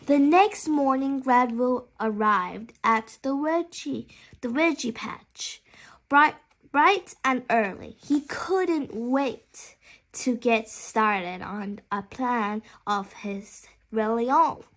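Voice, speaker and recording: A woman reading a children's bedtime story aloud, haltingly, with small stumbles and repeated words. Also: on the high side.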